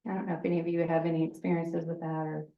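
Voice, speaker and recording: A woman with a fairly low voice leads a relaxed workshop, talking loudly and easily with frequent laughter. She sounds a little distant from the microphone, in an echoey room, and the sound is slightly dull. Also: racing, vocal fry. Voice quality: lower voice. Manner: loud, chatty, laughs often. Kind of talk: informal workshop talk.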